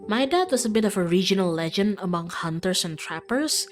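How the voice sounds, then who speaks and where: A woman's voice: medium pitch, lively intonation, a little fast, close to an everyday voice. Casting: female reader as friendly small-town outdoorswoman, young, earnest, folksy and eager, visual novel character, music bed. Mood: fond, reminiscing.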